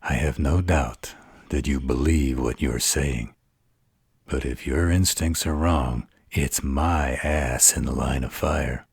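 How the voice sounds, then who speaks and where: A man's voice: a bass voice, takes it slowly, creaky, slightly rough, dry recording, clean studio sound, exaggerated voice. A man playing a snarky, distrustful supernatural fighter in an audio roleplay, with a mocking drawl. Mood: suspicious.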